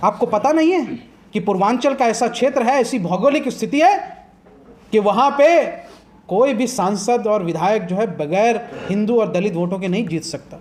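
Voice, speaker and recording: High-pitched man arguing hotly. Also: lively intonation, normal speed, a little mellow. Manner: impassioned, combative, rhetorical questions. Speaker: political commentator on a TV debate. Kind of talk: heated TV debate argument.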